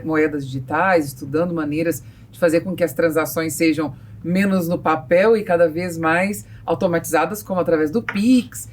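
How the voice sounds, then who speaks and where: A woman's voice: fairly low pitch, a little mellow, a little hiss or hum. A Brazilian woman hosting a conversational business panel, sharing views and putting questions to her guests. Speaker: host.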